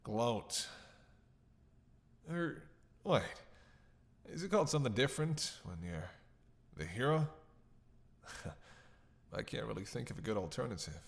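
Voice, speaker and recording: Man playing a slow-drawling, cocky vampire captive. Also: lowish voice. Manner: sarcastic, teasing, drawling. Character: captive male vampire, cocky, witty, flirtatious, menacing tease. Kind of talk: dramatic roleplay monologue to the listener.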